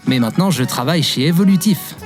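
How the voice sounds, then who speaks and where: A man's voice: fairly high pitch, lively intonation, fast talker, darkish tone, soft background music, close to an everyday voice. A man playing a devoted, self-deprecating young man who tells his story in a fiction podcast. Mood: wry, nostalgic. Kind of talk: fiction podcast acting with narration.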